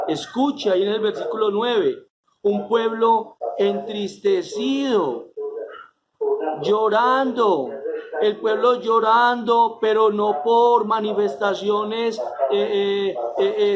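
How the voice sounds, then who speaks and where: Man, pastor, sermon, high voice, emphatic drawn-out stresses, dull lo-fi recording. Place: church.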